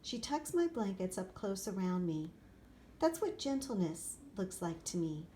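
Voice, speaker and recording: A woman reads a picture book aloud for children. Her voice sits at a medium pitch, and she delivers the story expressively in deliberate, separated phrases. There is clear ambient noise behind her. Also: big pitch swings, mellow. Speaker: storybook reader.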